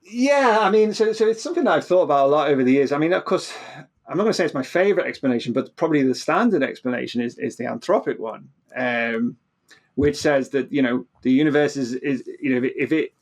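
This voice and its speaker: A man's voice: medium pitch, expressive, fast talker. A man explaining things as an interview guest, often stammering and repeating the start of his phrases. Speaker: physicist guest. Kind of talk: animated explanatory interview talk.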